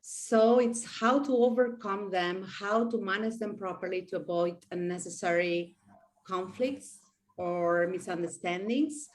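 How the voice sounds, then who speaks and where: A woman's voice: mid-range voice, a little hiss or hum. A woman interviewing a guest speaker, asking questions in an encouraging way.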